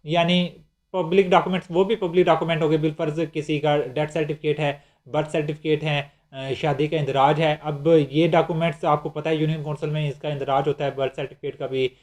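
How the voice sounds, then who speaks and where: Male lecturer, Pakistani accent, fairly high voice, didactic delivery that repeats and rephrases for learners. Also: a little mellow. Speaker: law lecturer. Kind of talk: explanatory lecture, reading out legal clauses and explaining them.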